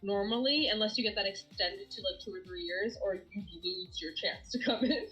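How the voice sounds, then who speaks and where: A woman from Bangladesh, a streamer guest chatting casually in an interview, animated and laughing often. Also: mid-range voice, moderate pace, dull audio, soft background music.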